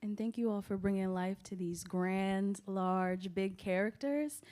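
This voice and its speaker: A woman's voice: mid-range voice, measured pace, dark tone. A woman moderating a post-show discussion in an appreciative, encouraging way as she addresses the panel and audience.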